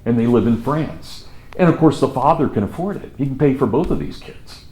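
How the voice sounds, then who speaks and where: A man with a Kansas accent giving a college lecture, telling history as a lively story and repeating words for emphasis. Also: mid-range voice, fairly quick. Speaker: college professor. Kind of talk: classroom lecture. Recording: echoey classroom from far off, faint noise.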